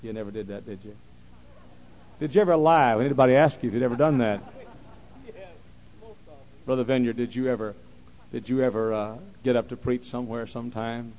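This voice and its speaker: A man preaching a sermon, repeating phrases and swinging from quiet, slow talk to loud shouting. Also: mid-range voice, low-quality recording.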